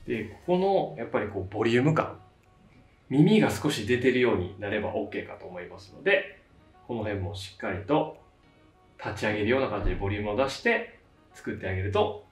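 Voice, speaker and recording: Echoey, lively-pitched man giving a tutorial. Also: brisk. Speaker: hairdresser giving a tutorial, channel host. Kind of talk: casual step-by-step instructional narration. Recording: roomy echo, distant mic, faint music. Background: faint background music.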